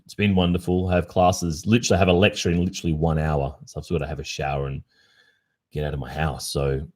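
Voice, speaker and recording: An Australian man in his early forties with an exceptionally low voice. He chats informally on a livestream, answering listeners' questions. His delivery is thoughtful, with pauses and the occasional hesitation. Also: level tone, a little mellow. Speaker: ASMR roleplay streamer.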